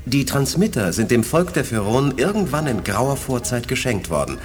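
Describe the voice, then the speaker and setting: An actor playing a composed, thoughtful telepath officer with an earnest, respectful manner in a 1980s German radio play. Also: male voice, medium pitch, very animated, normal speed, natural voice.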